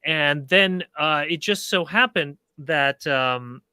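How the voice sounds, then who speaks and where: Bright-voiced man from Canada, halting delivery. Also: a little high, smooth, no echo, studio quality. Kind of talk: casual personal anecdote in discussion.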